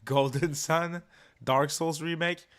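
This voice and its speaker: A man's voice: a little high, steady tone, takes it slowly, a bit bright, smooth. A man hosting a livestream in a laid-back, informal, slangy way, chatting casually with his viewers. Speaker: livestream host. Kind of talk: casual livestream chat, reacting and reading viewer comments. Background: music.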